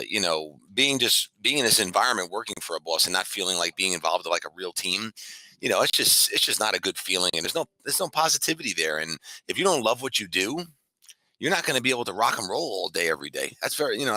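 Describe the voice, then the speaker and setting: A high-energy man speaking as a business founder being interviewed, in an emphatic, boastful motivational style. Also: pushed and bright, no echo, rough recording. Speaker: fitness franchise founder and CEO, guest.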